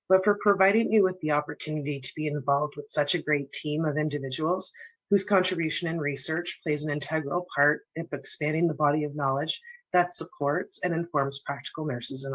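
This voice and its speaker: A woman with a slight cold, her voice a little scratchy, giving a humble, somewhat halting thank-you speech for an award. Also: sits low, no echo. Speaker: award recipient. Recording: dull lo-fi audio.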